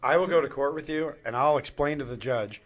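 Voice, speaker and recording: A man's voice: medium pitch, a little fast. Wisecracking man on a podcast. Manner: brash, wisecracking. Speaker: podcast guest. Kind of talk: casual podcast chat.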